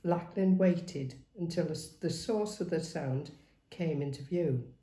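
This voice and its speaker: A middle-aged woman reading a story aloud as its author, in a measured, expressive, dramatic storytelling manner. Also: sits low. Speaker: author reading their own book aloud. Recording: roomy, echoey home recording.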